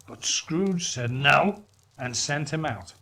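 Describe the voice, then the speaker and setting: A man reading a story aloud as a dramatic narrator, with deliberate, theatrical emphasis. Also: a little high, expressive, gravelly, sharp tone. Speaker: story reader.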